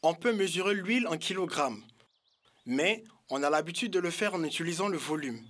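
An actor playing a patient, amused market shopkeeper in a children's cartoon, explaining things in an avuncular way. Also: male voice, on the high side, animated, moderate pace, fairly bright tone.

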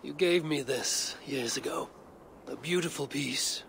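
A gravelly, proud Viking warrior. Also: male voice, mid-range voice, unhurried, a bit bright, slight background noise. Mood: resolute, respectful. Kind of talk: dramatic video game cutscene acting.

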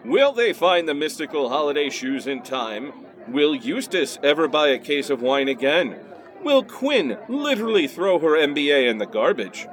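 A man's voice: a little high, very animated, moderate pace, a faint crowd. A man playing a mock-dramatic announcer in a parody radio play and sponsor read.

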